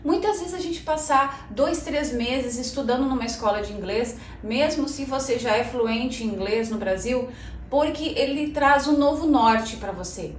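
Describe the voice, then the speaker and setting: A woman's voice: high-pitched, measured pace, distant mic. Chatty Brazilian woman in an echoey room. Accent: Brazilian Portuguese. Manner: chatty, addresses viewers as "gente", frequent "né". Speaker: channel host. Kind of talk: casual personal vlog. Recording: echoey room, voice a bit off-mic, faint noise.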